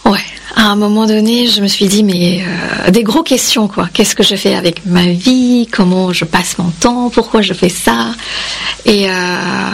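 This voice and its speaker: A woman's voice: mid-range voice, measured pace, creaky, slightly rough. A woman from India raised in Yemen, a native Kannada speaker, answering interview questions as a singer, reflective and hesitant with fillers. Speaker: singer, guest.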